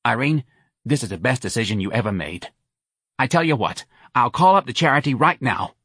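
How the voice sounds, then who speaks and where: A man's voice: mid-range voice, animated, fairly quick, a bit bright, slightly rough, no room sound, clean studio sound. A man voicing a pushy, scheming middle-aged woman from New who talks in a brassy, bossy way. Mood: eager.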